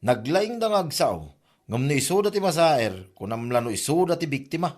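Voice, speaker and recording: A man's voice: on the high side, sing-song, fairly quick. A man acting a gossiping villager in a comedy audio drama. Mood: questioning.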